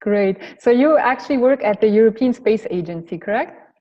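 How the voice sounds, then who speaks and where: A woman's voice: on the high side, lively intonation, normal speed, long reverb tail, highs cut off. A woman hosting an interview, asking questions in a friendly, slightly hesitant way. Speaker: interviewer, host. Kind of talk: casual interview.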